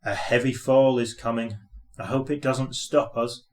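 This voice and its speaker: A man's voice: medium pitch, steady tone, a little slow, natural voice. A plain-spoken, firm steam engine driver from a children's tale, played by a man. He talks seriously and without hurry, in a cautioning way.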